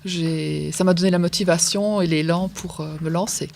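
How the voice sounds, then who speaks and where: A middle-aged woman, a former nurse, speaking in a relaxed, personal way in an interview. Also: lowish voice, normal speed, creaky, a little hiss or hum. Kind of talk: interview testimony.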